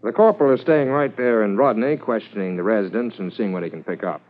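A man's voice: fairly low pitch, lively intonation, quick pace, natural voice. A man playing an earnest junior policeman in a 1950s radio drama, reporting respectfully to a superior. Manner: deferential, crisp reporting. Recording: tinny old radio transcription.

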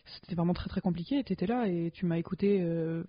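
Husky teasing woman podcaster. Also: on the low side, fast talker. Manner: teasing, joking storyteller. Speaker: podcast co-host. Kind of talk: casual chat between friends. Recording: dull, muffled sound.

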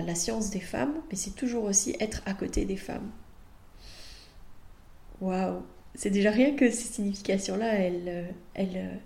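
Mellow French woman podcaster in a roomy space. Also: medium pitch, gravelly. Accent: French (France). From France. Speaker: podcast host and midwife. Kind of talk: podcast monologue.

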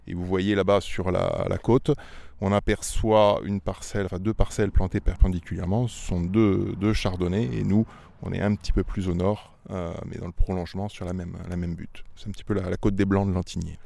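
Middle-aged man from Auvergne, low gravelly voice, dry close sound, unhurried explainer. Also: level tone, warm tone, no room sound. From Auvergne, France. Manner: thoughtful, repeats words while explaining. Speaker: winemaker. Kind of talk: casual interview. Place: outdoors among vineyards.

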